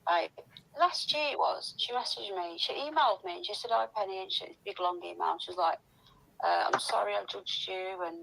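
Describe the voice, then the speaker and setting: A woman's voice: medium pitch, a little fast, vocal fry, a bit bright, poor audio. A woman chatting casually and gossiping in a rambling, sweary, complaining way.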